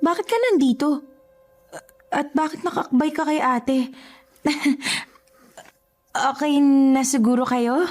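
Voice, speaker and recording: A bubbly younger sister with a high voice and Filipino accent. She is chirpy, inquisitive and unsuspecting, in a radio drama with music beneath. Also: slow, long pauses, darkish tone. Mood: surprised, cheerful. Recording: music under the voice.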